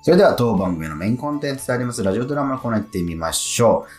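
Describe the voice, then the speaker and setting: A man's voice: mid-range voice, animated, racing, a little mellow, faint music behind. A man hosting a radio show in casual chat, with frequent eager interjections. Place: radio studio.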